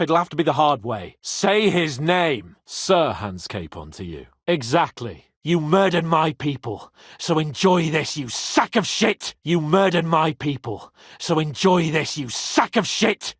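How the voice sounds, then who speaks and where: A snobby young medieval lord for a game, raspy edge, bossy and quick to anger, really dry studio sound. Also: male voice, on the high side, no echo. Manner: imperious, sardonic, swings between sneering and shouting. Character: haughty, hot-tempered young medieval nobleman knight. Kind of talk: dramatic video game voice acting.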